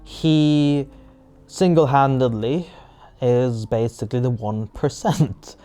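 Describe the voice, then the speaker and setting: A man's voice: mid-range voice, takes it slowly, warm tone, faint music behind. A man working as game master, setting scenes off the cuff and searching for words as he goes. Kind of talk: improvised scene-setting for a tabletop roleplay audio drama.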